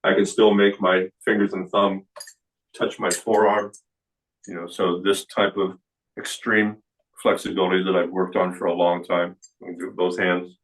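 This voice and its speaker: A man in his late sixties speaks in a low, unhurried voice, explaining things with plenty of "uh" and "you know". He sounds a little distant from the microphone, as if picked up by a camera across an indoor room. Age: 60s. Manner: relaxed explaining with many fillers. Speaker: kung fu teacher. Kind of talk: seminar talk.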